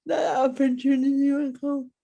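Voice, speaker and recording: A woman with an Irish accent, reflecting in an interview in deliberate, halting short phrases. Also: sits high, flat delivery, creaky, warm tone, lo-fi sound. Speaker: playwright, interview guest.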